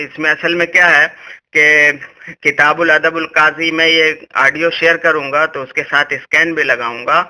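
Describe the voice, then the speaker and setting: Forceful, high-pitched man lecturing in debate. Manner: insistent, lecturing, repeats himself. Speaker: religious debater recording a call for listeners. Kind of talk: argumentative religious explanation in a phone debate. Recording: phone call recording.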